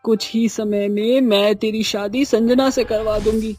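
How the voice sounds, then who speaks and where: A woman voicing a scheming, bossy mother-in-law who gives curt orders in a husky voice. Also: a little high, steady tone, unhurried, darkish tone, soft background music. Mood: commanding. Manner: commanding, curt.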